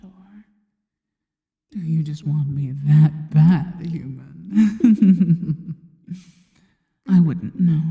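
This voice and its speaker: A seductive spider monster, acted by a woman, whispers with very dark, velvety softness in long reverb. She is possessive and devoted, teasing her listener slowly and intimately in an ASMR roleplay. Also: on the low side. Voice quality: breathy, mostly whispered. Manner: slow, teasing, intimate delivery.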